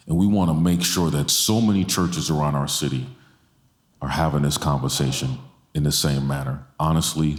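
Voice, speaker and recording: Emphatic deep-voiced man in a roomy church. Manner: emphatic, repeats lines for stress. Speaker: guest speaker. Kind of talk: passionate church talk to an audience. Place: church stage before an audience. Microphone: handheld mic. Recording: roomy church hall sound.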